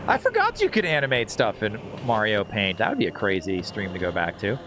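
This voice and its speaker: A man's voice: lowish voice, huge pitch swings, brisk, very noisy. A middle-aged man chatting casually while streaming a video game, playful and rambling. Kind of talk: casual live gaming commentary and chat. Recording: loud game music behind voice. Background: video game music.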